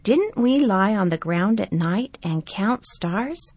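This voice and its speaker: A woman voicing a mild, forgetful old grandfather in a storybook, speaking slowly and musing. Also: fairly high pitch, expressive, no echo. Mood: gentle.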